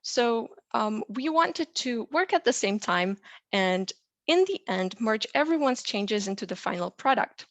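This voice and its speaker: A young adult woman from Romania, a software engineer, presents a tutorial in a clear, explanatory way with frequent "uh" and "um" fillers. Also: fairly high pitch, expressive, measured pace, no room sound. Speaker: software engineer teaching a lesson.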